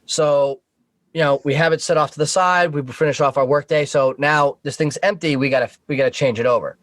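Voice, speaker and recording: An American man with a fairly high voice tells casual stories in a joking, salty way. He sounds like a podcast guest, and the recording is dry, with very little room in it. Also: fairly even intonation, fairly quick, fairly bright tone, no room sound. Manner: profane, joking. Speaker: podcast guest, Coast Guard veteran. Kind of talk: casual anecdote storytelling.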